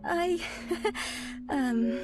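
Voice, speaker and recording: A woman's voice: high voice, drawn-out words. An actress playing a fickle, superficial young woman in a video game. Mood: bashful, smitten. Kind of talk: dramatic video game voice acting. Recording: music behind the voice.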